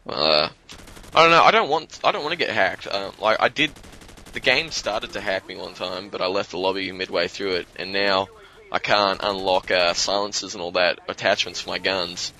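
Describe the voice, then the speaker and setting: Young Australian man, low voice with a forceful bright edge, slightly hoarse, loose rambling gamer talk. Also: normal speed, low-quality recording. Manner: rambling, loose, swears freely. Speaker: guest commentator. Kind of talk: casual gaming commentary.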